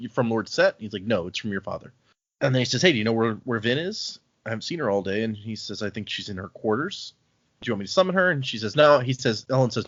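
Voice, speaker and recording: Male podcast host, husky voice, casual recap of the chapters, dry muffled sound. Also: mid-range voice, fairly bright tone, dry recording. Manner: recaps chapters, acting out lines in quick voices. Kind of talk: casual book discussion.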